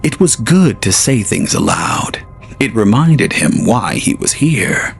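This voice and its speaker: Male drama narrator, gravelly, measured storytelling over soft music. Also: fairly low pitch, sing-song. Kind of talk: scripted audio drama narration.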